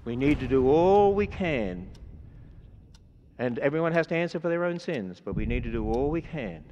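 A man's voice: on the high side, expressive, normal speed, mellow, slight background noise. Older Australian man preaching in a hall. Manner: earnest, conversational, many fillers. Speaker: speaker. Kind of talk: sermon closing then announcements. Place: large auditorium. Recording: dull sound with long hall reverb.

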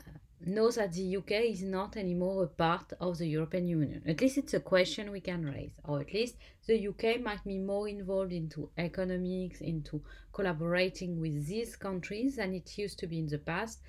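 A woman's voice: fairly low pitch, darkish tone. French-accented woman teaching legal English. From France. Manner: halting, self-correcting, with frequent restarts. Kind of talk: lecture-style explainer over slides. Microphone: voice-over.

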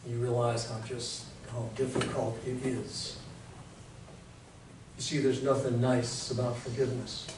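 An American man in his sixties preaching a sermon as a pastor, telling it conversationally in short, deliberate phrases. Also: mid-range voice, little pitch movement. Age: 60s. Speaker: Lutheran pastor. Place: church sanctuary in front of a congregation. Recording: very echoey church hall, distant mic, faint noise.

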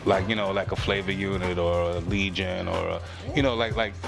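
Man, middle-aged, low voice, earnest, reflective, handheld mic, music behind. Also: fairly even intonation, fairly quick, creaky. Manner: earnest, reflective, frequent "you know what I'm saying". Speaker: rapper, interview guest. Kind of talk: casual interview chat. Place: lounge room on a leather couch. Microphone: handheld mic held by interviewer.

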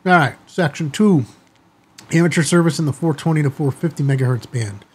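A middle-aged American man with a slightly husky voice, talking informally and directly to viewers as a video host. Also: a little high, brisk. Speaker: amateur radio video host. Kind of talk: casual chatty commentary to viewers. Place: small indoor studio office, seated at desk.

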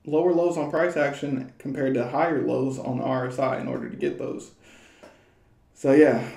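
Young man, hoarse, casual crypto chart talk. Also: fairly high pitch, warm tone, reverberant. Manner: loose and rambling, many fillers and restarts. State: slightly hoarse. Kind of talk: casual market commentary over charts. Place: small room at home.

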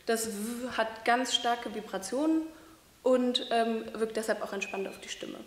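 A young woman with a fairly high voice explains breathing and voice exercises, stopping now and then with fillers. She stands in a large hall with a wooden floor, and the room gives her voice a clear echo. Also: steady tone, measured pace. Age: young adult. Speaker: voice training student. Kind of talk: demonstrating voice exercises. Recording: echoey hall.

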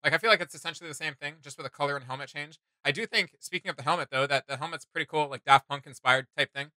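Very bright, forceful young man, enthusiastic chat. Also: a little high, racing, no echo. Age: young adult. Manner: enthusiastic, animated, slangy. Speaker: reaction video co-host. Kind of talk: casual reaction chat. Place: small indoor room with gaming setup.

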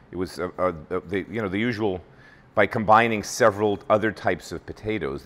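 A man's voice: on the low side, long reverb tail. A middle-aged man hosting a cooking show, chatting enthusiastically as he demonstrates a simple dish in a large kitchen. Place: large home-style kitchen.

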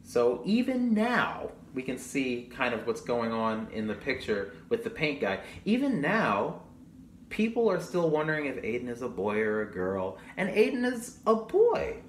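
A man's voice: mid-range voice, huge pitch swings, unhurried. A young man reading a picture book to children, expressively, with chatty asides to his young listeners. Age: young adult. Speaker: children's storybook reader. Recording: roomy echo, audible background noise.

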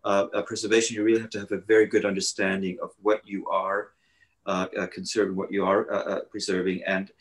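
A man, a guest architect speaking haltingly over a video call from a living room. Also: low voice, little pitch movement. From Switzerland. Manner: halting, many hesitations and restarts. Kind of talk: panel conversation.

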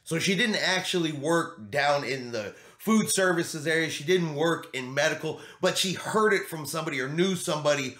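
A man's voice: a little high, expressive, normal speed, hardly any pauses, bright, forceful tone. A middle-aged man explaining things to camera in an emphatic way, repeating his key points as a vlog host. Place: small home studio room, indoors. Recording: roomy indoor echo.

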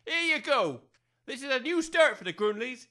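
A man's voice: extremely high pitch, sing-song, normal speed, pushed and bright, heavily acted. Cocky, blustering village dad, acted by a man. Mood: cocky, defiant. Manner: blustering, colloquial. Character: cocky, defiant working-class village father. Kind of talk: audio comedy drama acting.

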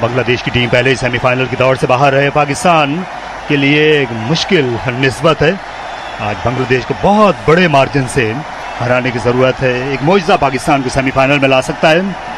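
A man with a Pakistani Urdu accent giving measured, formal ball-by-ball cricket commentary on radio. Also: mid-range voice. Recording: noisy radio broadcast.

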